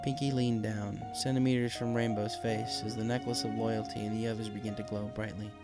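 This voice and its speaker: A man's voice: on the low side, little pitch movement, a little fast, loud music behind the voice. A man reading a fan fiction story aloud as narrator, in a scripted, dramatic storytelling style.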